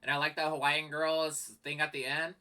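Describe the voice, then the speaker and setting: A man hosts a reaction video with a very bright, forceful voice. He talks casually and bluntly, giving his opinions plainly. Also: fairly high pitch, level tone, normal speed. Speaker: reaction channel host.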